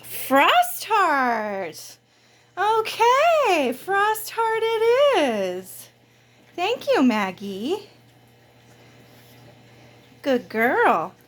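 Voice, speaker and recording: A woman's voice: extremely high pitch, wildly swooping pitch, extremely slow, pauses often, a bit bright. A woman book vlogger chatting casually to camera in informal, slangy language.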